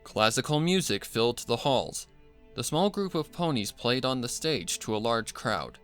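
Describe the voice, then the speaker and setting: A man's voice: medium pitch, animated, normal speed, a bit bright, faint music behind. A man reading a scripted story aloud as narrator. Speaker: narrator, fan fiction reader.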